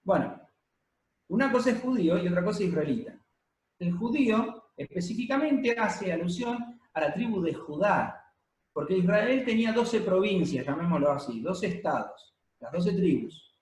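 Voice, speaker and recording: A man preaching a sermon to a congregation, explaining at a measured pace with many pauses and fillers. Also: high voice, lively intonation, a little mellow. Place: church hall, congregation present and streamed. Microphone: handheld or stand microphone. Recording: echoey room.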